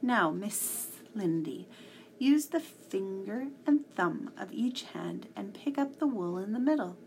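A woman voicing a sly, falsely friendly male cat in a storybook, with breathy, coaxing politeness. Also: medium pitch, lively intonation, slow pace, darkish tone, poor audio.

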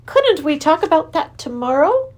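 A woman voicing an exuberant, lovesick male creature king with antlers, who lisps and gushes in a high voice. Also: normal speed. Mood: anxious. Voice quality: lisping. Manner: high, gushing, excitable. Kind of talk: storybook reading.

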